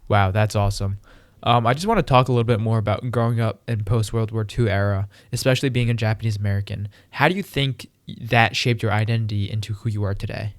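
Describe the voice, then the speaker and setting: A man's voice: lowish voice, fast talker, dry recording. A young man hosting a podcast, opening the show and asking curious, friendly questions. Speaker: podcast host. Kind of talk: podcast intro and interview questions.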